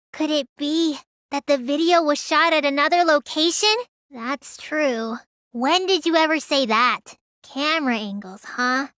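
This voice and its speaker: A woman voicing a small, childlike teenage girl mage in a video game, high and girlish, sulky and excitable with a whiny edge. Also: no echo, studio quality, full character voice. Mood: sulky, matter-of-fact. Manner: whiny, stammers when startled. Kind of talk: English game dub voice lines.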